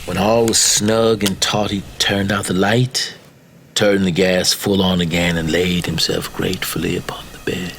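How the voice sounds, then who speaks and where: A man reading a classic story as narrator, measured and dramatic, often dropping into a hush. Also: low-pitched, little pitch movement, vocal fry, slight background noise.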